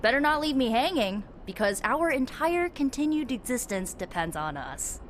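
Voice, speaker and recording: A woman's voice: sits high, very animated, moderate pace. A woman voicing a spirited young superhero team leader in a video game, talking casually and with determination. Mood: earnest, rallying. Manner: casual, youthful slang. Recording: noisy game sound effects behind.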